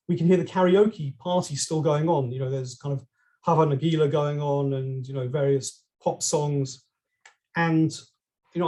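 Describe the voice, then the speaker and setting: A man's voice: a little high, darkish tone. A middle-aged man from London with a British accent, a podcast guest telling his story with frequent ums and restarts. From England. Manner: hesitant, many ums and restarts. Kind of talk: conversational storytelling.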